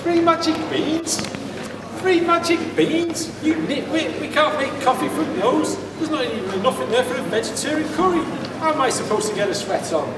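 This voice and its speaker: A man's voice: very high-pitched, animated, moderate pace, heavy background noise. A man playing an exasperated, scolding boss in an amateur comic pantomime. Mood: outraged. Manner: exclaiming, scolding. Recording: echoey hall from far off, audience.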